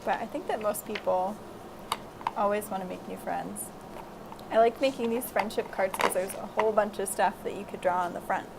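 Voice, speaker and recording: A woman's voice: medium pitch, steady tone, normal speed. A woman hosting a children's story time, chatting casually and giving encouraging craft instructions. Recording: clearly noisy amateur recording.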